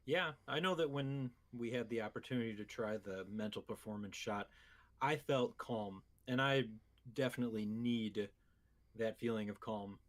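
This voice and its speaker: Mid-pitched male podcast co-host. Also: moderate pace. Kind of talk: casual conversational ad banter.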